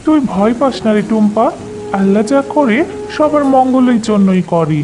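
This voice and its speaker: A fairly high, plaintive mother's voice acted by a woman in a cartoon fairy tale. She is a poor, pious villager, anxious yet tender and soothing, with clear music behind her. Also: lively intonation, normal speed, lightly acted.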